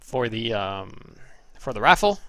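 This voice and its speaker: A man's voice: mid-range voice, big pitch swings, unhurried, sharp tone, no room sound. A young man from Norway giving loose, profane, sarcastic commentary over gameplay replays as the show's host. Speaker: game commentator.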